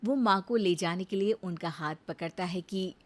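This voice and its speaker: A woman narrating a cartoon moral story in an unhurried, expressive way. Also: on the low side.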